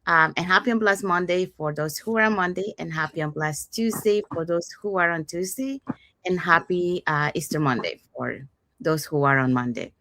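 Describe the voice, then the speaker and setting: A woman's voice: fairly low pitch, normal speed, sharp tone, dry recording. A woman from Panama hosting a live online panel, chatty and upbeat, correcting herself as she goes. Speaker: host.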